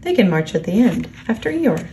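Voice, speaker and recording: A woman voicing a brisk, offhand, self-important rabbit in a children's storybook. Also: medium pitch, big pitch swings, warm tone, a little hiss or hum, poor audio. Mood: careless. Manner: curt, careless. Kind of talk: children's storybook character reading.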